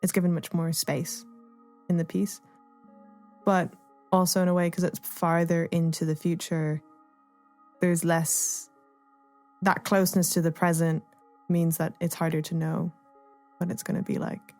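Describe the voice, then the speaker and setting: A woman's voice: fairly low pitch, level tone, unhurried, pauses often, a little mellow, soft background music. A woman speaking thoughtfully and tentatively as an artist explaining their work in a reflective interview. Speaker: artist and playwright being interviewed.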